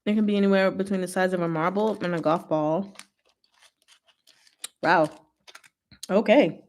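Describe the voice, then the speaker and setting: A woman's voice: fairly low pitch, steady tone, a little slow. A woman hosting a casual livestream, playful and informal, chatting and reading stories aloud. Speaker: host of a livestream.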